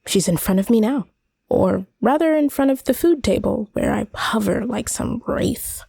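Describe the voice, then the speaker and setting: Female story narrator, slow and deliberate, mellow dark tone, creaky edge, expressive literary reading. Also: a little high, dry recording, studio quality.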